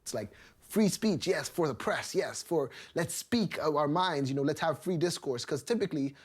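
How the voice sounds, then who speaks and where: Husky man rambling casually. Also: fairly high pitch, lively intonation, normal speed. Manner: casual, rambling, uneven pace. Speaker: interviewee. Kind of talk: documentary interview.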